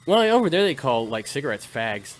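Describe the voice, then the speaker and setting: A man from Wales hosting a podcast, trading crude jokes and banter in casual chat and breaking into loud laughter. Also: medium pitch, big pitch swings, fairly quick. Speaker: podcast host.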